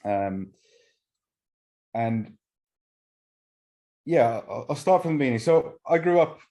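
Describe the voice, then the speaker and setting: A middle-aged man from Finland, a native Swedish speaker, telling his personal recovery story at a meeting with halting pauses and restarts. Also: medium pitch, expressive, dark tone. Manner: halting, frequent restarts and fillers. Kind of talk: personal testimony talk.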